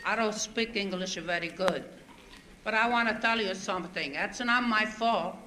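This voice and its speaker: Comic Italian immigrant woman with broken English and thick accent, put on by a woman comedian; mid-pitched, echoey stage with a noisy audience. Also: normal speed, bright, forceful tone, natural voice. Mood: indignant comic. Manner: indignant, storytelling, quoting others. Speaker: stand-up comedian. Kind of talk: comic character monologue. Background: audience laughter.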